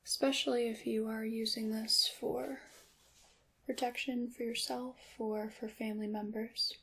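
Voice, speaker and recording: A woman playing a gentle, knowing witch in an ASMR roleplay, speaking in a breathy half-whisper with deliberate, slightly formal phrasing. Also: fairly high pitch, level tone, heavily acted, low-quality recording. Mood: hushed, instructive. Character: gentle, knowing witch teaching her craft. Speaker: ASMR performer.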